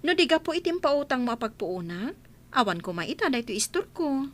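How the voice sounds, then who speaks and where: A woman's voice: high-pitched, very animated, brisk, a bit bright. A woman playing a grateful, honest village storekeeper, polite and cheerful in an Ilocano radio comedy drama.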